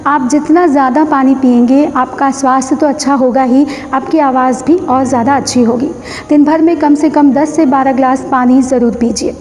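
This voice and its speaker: A woman speaks in a high-pitched voice, presenting tips as an instructor. She explains step by step and restates key points for emphasis. The recording carries a long, echoing room reverb. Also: fairly even intonation, moderate pace, darkish tone. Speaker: host of a public speaking tips channel. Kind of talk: instructional talk to camera.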